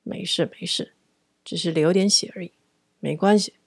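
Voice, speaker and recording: A woman voicing a calm, easygoing male owner of a late-night diner in a radio drama, unbothered and understated. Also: on the low side, normal speed, vocal fry, breathy edge, no room sound, studio quality.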